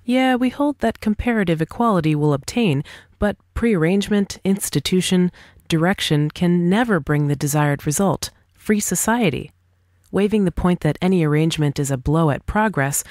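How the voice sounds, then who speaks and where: A woman's voice: on the low side, moderate pace, a little mellow, dry recording, studio quality. A woman reading a scripted dialogue aloud as for an audiobook, in a formal, argumentative manner. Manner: formal, argumentative, rhetorical questioning. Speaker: audiobook reader.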